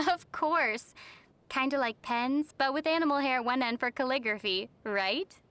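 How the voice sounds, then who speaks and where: A woman voicing a young female traveler in a video game, calm and playfully teasing, with wry delivery. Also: high-pitched, measured pace. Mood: matter-of-fact.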